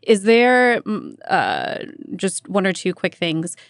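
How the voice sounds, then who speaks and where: Woman radio host, medium-pitched voice. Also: sing-song, takes it slowly, no room sound, clean studio sound. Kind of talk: interviewing and presenting.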